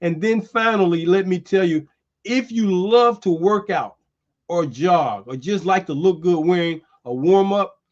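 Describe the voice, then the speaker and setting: Guy hosting a stream, slightly high voice, keeps saying man, kinda muffled sound. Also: a little slow. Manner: loose and chatty, says "man" a lot. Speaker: host. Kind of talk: casual chat and merchandise pitch. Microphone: streaming setup.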